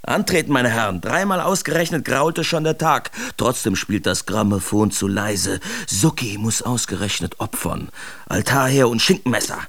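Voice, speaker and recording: Mocking Dadaist artist, brash, theatrical recitation, crackling vocal fry, radio play. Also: male voice, mid-range voice, lively intonation, moderate pace, a bit bright. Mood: rowdy, agitated. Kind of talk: expressive literary radio drama recitation.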